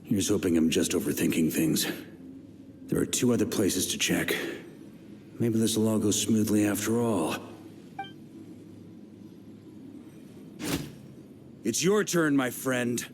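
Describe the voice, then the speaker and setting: An actor playing an easygoing, confident veteran knight commander in a video game, breezy and wry. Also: male voice, fairly low pitch, expressive, normal speed, a little mellow, slight background noise, close to an everyday voice. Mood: wry, alert. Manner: breezy, self-assured, wry.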